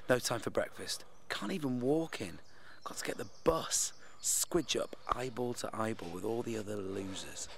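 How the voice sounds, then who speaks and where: A man's voice: lowish voice, gravelly, background noise. An actor playing a frazzled, grumpy young British office man thinking aloud in an audio drama. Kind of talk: stream-of-consciousness audio drama.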